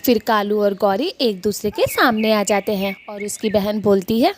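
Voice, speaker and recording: A woman's voice: mid-range voice, normal speed. A woman narrating a cartoon story in a storytelling style.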